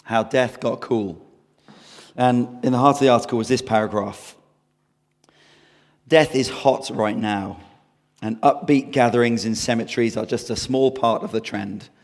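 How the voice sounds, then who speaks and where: A hoarse man preaches earnestly and conversationally, his voice worn and croaky. His pitch sits a little low, and long reverb trails his words through the hall. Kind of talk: sermon.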